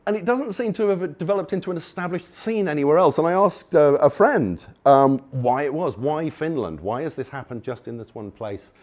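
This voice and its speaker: A man's voice: on the high side, lively intonation, normal speed, few pauses, darkish tone. A man giving a conference talk to an audience, enthusiastic and wry, rambling with frequent restarts. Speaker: conference speaker. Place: conference venue before an audience.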